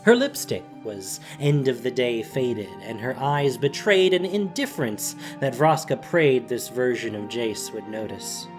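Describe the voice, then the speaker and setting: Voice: mid-range voice, big pitch swings, measured pace, hardly any pauses, over music. A narrator reading a scripted fantasy story in a dramatic storytelling style.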